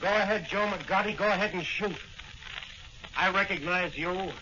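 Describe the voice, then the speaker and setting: An actor playing a bold, righteous masked crime-fighter in a declamatory 1940s radio style. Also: male voice, high-pitched, normal speed, bright, forceful tone. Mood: taunting. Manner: declamatory, taunting. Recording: dull, very noisy old radio recording.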